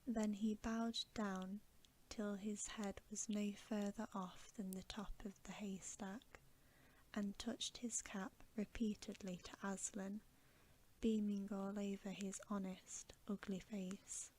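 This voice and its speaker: Slow, whispering woman reading a bedtime story. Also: mid-range voice, flat delivery, slight background noise, poor audio. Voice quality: soft, breathy whisper. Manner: gentle, unhurried narration. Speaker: ASMR storyteller.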